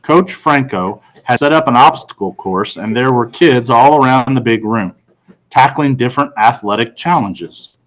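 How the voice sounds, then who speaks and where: A man's voice: mid-range voice, animated, moderate pace, a bit bright, low-quality recording. Man reading a school storybook aloud. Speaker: audiobook reader. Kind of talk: first-person storybook narration read aloud.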